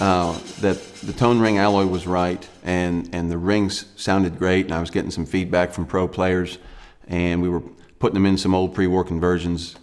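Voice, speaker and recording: Low, flat-voiced banjo maker. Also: male voice, in a large hall. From Lancaster, Pennsylvania. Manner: halting, many "uh" fillers. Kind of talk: interview. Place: studio with dark backdrop.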